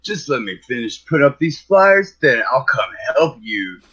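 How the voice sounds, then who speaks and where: Guy doing an easygoing young dude pal in a comedy sketch, husky, muffled dull audio. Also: mid-range voice, sing-song, normal speed, fairly bright tone. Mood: agreeable. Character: helpful easygoing young man, hipster's friend. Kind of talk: live comedy sketch read as radio play.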